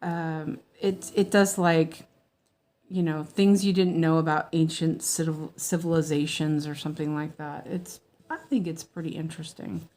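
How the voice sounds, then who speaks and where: A woman's voice: low voice, flat delivery, normal speed, a little mellow. An American woman chatting in a rambling, meandering way with her viewers as she stitches during a casual livestream.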